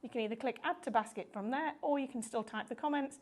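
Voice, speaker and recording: A woman's voice: fairly high pitch, fast, a little mellow. Upbeat woman presenting live shopping. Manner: chatty, upbeat, explains step by step. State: slightly croaky voice.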